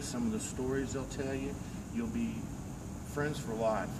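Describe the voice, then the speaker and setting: A middle-aged man giving a folksy, earnest promotional address to camera as an amateur angler. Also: mid-range voice. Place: large indoor garage or hall with concrete floor. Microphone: camera a few metres away. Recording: echoey hall, distant camera mic, loud hum.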